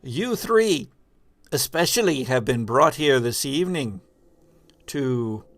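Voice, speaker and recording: A man playing a spooky, theatrical hologram host in a tabletop game, speaking gravely and portentously with halting pauses. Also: mid-range voice, lively intonation, fairly bright tone, faint music behind. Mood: grand, ominous. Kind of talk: old horror-movie monologue in a tabletop game.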